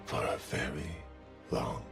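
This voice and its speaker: An actor playing a sinister, obsessive killer in a video game, savoring each theatrical threat. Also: male voice, slow pace, a bit bright, faint music behind. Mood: sinister, brooding. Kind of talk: video game villain voice lines.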